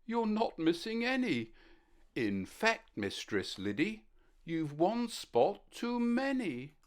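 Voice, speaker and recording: A woman's voice: mid-range voice, very animated, slow pace. Woman doing a kind, soothing ant doctor. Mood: reassuring. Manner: gentle, reassuring bedside manner. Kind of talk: storybook character voice.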